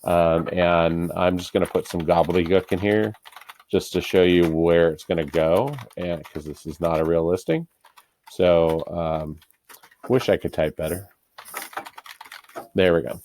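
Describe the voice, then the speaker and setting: A man's voice: low voice, a little mellow, no echo. A man with an American accent walking through an on-screen tutorial step by step in an informal, instructional way. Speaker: real estate broker giving a software tutorial. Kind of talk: casual screen-share tutorial.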